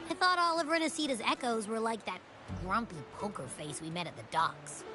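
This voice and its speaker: A cheeky little game creature, with a high voice that swings freely up and down. She is blunt, informal and always a bit hungry, and music plays behind her. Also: very animated, a little fast. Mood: impressed, cheeky. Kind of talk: video game voice acting. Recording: game dialogue over clear background music.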